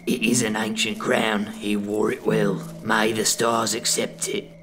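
A man's voice: fairly low pitch, flat delivery, unhurried, lightly acted. A man playing an uneasy, wondering palace sentry in an audio play. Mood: wistful. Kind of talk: dramatic audio play acting. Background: plucked guitar music.

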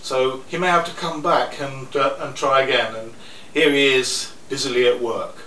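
An older man giving a slow, theatrical reading of his own poems at a live cafe event, chuckling between pieces, heard through a stand mic set off to the side. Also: medium pitch, steady tone, bright, forceful tone. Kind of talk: live poetry reading with asides. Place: small cafe room with an audience. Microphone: stand mic a little off to the side. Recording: roomy, distant camera audio with faint noise.

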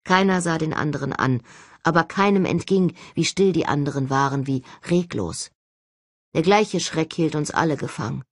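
A woman reading a first-person novel as an expressive, scripted narration. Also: low voice, moderate pace, no room sound, studio quality. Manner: expressive, acts out dialogue. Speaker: audiobook narrator.

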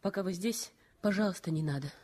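An actress playing an earnest young nurse in a 1960s radio play, reassuring in a warm, gently insistent way. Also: female voice, on the low side, a little fast, natural voice. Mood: tender. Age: young adult.

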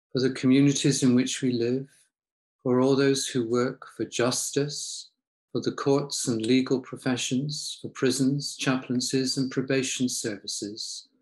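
Older male prayer leader, unhurried read intercessions, medium pitch, recorded at home. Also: darkish tone. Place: small room at home with bookshelves.

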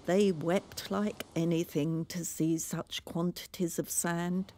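A woman reading a children's poem aloud in a theatrical, measured storytelling manner. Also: fairly low pitch, level tone, mellow. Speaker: poem reader. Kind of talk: expressive children's poetry recital.

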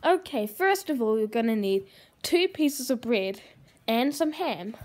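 A woman hosting a homemade puppet cooking show at a kitchen table, talking in a hesitant, halting way with many ums. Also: a little high, expressive, a little mellow. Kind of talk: improvised puppet comedy.

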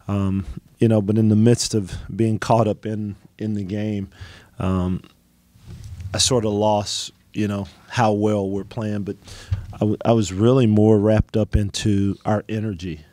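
A middle-aged man coaching basketball, answering reporters after a game, halting, with many ums and restarts. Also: fairly low pitch, flat delivery, mellow, no room sound. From United States. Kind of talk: post-game press conference answers.

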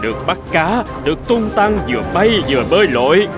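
A man's voice: high-pitched, big pitch swings, brisk, music in the background. A man voicing a boastful, greedy seabird in a cartoon, swaggering and exclaiming. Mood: gloating. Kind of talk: cartoon dubbing.

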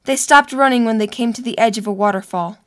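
A woman's voice: a little high, fast. A woman narrating a scripted story for an audio drama.

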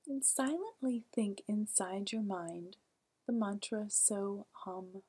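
A woman with a medium-pitched, airy voice guides a meditation. Her delivery is gentle and soothing, at times close to a whisper, and she leaves generous space between her calm, unhurried phrases. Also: slightly rough.

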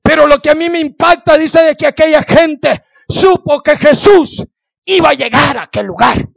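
A middle-aged man preaching an impassioned sermon into a handheld microphone, exhorting and repeating phrases for emphasis in a strained, gravelly voice. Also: very high voice, moderate pace, dry recording, clean studio sound. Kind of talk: impassioned Pentecostal-style sermon. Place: indoor church hall, on the platform. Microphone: handheld microphone held close.

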